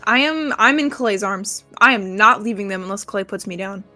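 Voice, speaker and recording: Bright-voiced woman player. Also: medium pitch, fairly quick, faint music behind. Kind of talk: playing a tabletop game.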